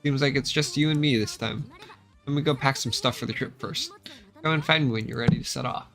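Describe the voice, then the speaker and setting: A man's voice: medium pitch, brisk, creaky, fairly bright tone, faint music behind. A man voicing a perky, pushy young female adventurer from a space video game, eager and emphatic. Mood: eager, chatty.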